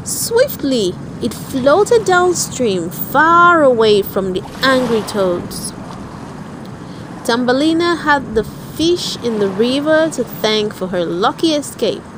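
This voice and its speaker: A woman's voice: high-pitched, big pitch swings, slow, noisy background. A woman narrating a children's storybook aloud for very young listeners.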